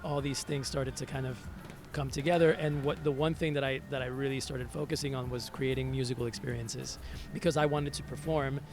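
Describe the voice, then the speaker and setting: A man from Venezuela, a native Spanish speaker, telling his story as an interview guest in a reflective, halting, conversational way, repeating words as he searches. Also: medium pitch, steady tone, noisy background. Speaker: interview guest, musician and hospitality entrepreneur. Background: music.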